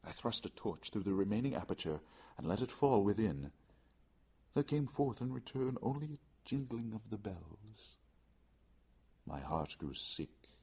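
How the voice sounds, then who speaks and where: A man narrates gothic fiction in a deep, roughened voice. His delivery is theatrical and intense, with very lively intonation and deliberate phrasing. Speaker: audiobook reader.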